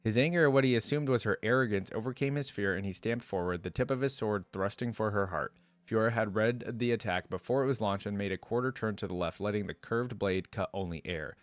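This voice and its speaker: A man's voice: lowish voice, fairly quick, dry recording. A man hosting a reaction video, reading a story aloud and commenting in an informal, slangy way.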